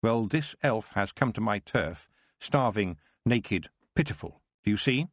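A man voicing a young witch who speaks firmly and in a measured, commanding way. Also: on the low side, animated, dry recording. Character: young witch girl Tiffany, firm and sharp-minded.

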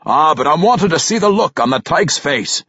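A man voicing a hearty, genial old dwarf king in a fantasy audiobook, with a booming rustic dialect. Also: very animated, normal speed, sharp tone, slightly rough, dry recording, muffled recording, exaggerated voice. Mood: sly, fond.

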